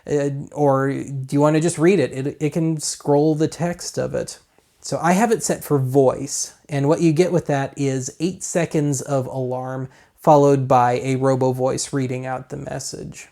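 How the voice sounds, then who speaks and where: A man's voice: mid-range voice, fairly even intonation, measured pace, vocal fry, mellow. An American man hosting a casual gadget walkthrough in a rambling, colloquial, self-deprecating way. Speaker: host of a gadget review show.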